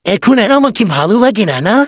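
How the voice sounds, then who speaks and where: A woman's voice: mid-range voice, big pitch swings, quick pace, soft background music. A woman voicing a whiny, aggrieved old grandfather in a comic cartoon, indignant and pleading with melodrama. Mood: hurt, indignant. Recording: noisy amateur cartoon dub with clicks.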